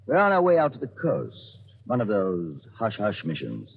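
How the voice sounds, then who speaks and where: A courteous, evasive British gentleman speaks in a low, slightly rough voice. The sound is that of a muffled 1940s radio drama. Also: wildly swooping pitch, moderate pace, exaggerated voice. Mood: smooth, evasive. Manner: courteous, genteel. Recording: muffled old radio broadcast.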